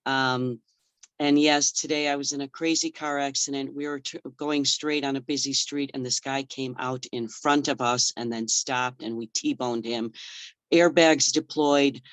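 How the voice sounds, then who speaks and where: A man's voice: mid-range voice, fairly even intonation, measured pace, a bit bright, clear, resonant tone, no room sound. Passionate man born in the Czech Republic. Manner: passionate, emphatic, rambling lists. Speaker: fitness trainer. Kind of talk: guest telling his story on a video call. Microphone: video call.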